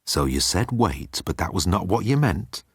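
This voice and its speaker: A man's voice: deep voice, very animated, moderate pace, slightly rough, dry recording, clean studio sound, exaggerated voice. A man voicing a pompous, menacing old alien commander who croons with sardonic deliberation in a sci-fi audiobook. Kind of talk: audiobook character acting.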